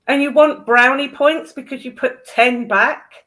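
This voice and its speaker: A woman's voice: high-pitched, fairly even intonation, a little slow, bright, forceful tone. A British woman chatting casually as a co-host, with a blunt, opinionated way of reacting. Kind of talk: casual chat, brief reactions.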